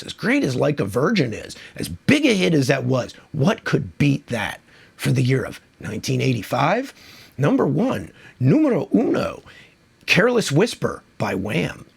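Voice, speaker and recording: A man's voice: mid-range voice, wildly swooping pitch, normal speed, vocal fry, slightly rough. A man who is losing his voice from a cold, hosting a casual music countdown in a chatty, enthusiastic, rambling way. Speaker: music video host.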